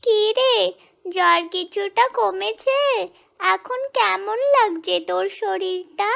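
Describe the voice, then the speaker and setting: A woman plays a caring big-sister village girl in a cartoon folk tale. The voice is extremely high and slightly creaky, with slow, sing-song, careful phrasing. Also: fairly bright tone, lo-fi sound, heavily acted.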